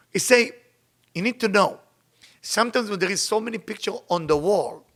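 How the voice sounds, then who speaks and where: A man's voice: high-pitched, gravelly, bright, forceful tone. A man with an Israeli accent giving an informal spiritual lesson, telling stories and repeating phrases for emphasis, racing through passages when he reads them aloud. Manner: rapid recitation when reading Hebrew text. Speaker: Kabbalah teacher. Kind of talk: informal spoken teaching with storytelling.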